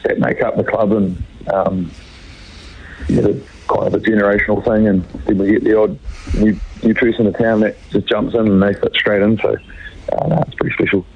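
Casting: New Zealand man, thirties, croaky and low, hesitant rugby player, muffled phone line. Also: flat delivery. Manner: halting, many fillers. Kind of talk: radio phone interview.

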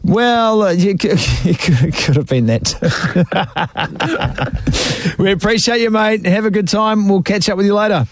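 A New man hosts sports radio in a relaxed, joking way. His voice sits close and dry with no room sound. He laughs along with his guest. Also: high voice, moderate pace, long pauses, dry recording. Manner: chummy, jokey, laughs easily. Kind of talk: casual interview chat.

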